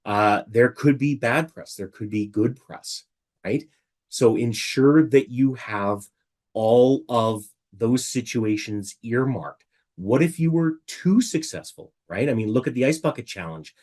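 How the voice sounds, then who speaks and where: A middle-aged man with a raspy voice from illness, giving a chatty webinar talk from home and often asking rhetorical questions. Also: mid-range voice, a little slow, darkish tone. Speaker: webinar presenter. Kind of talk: casual presentation.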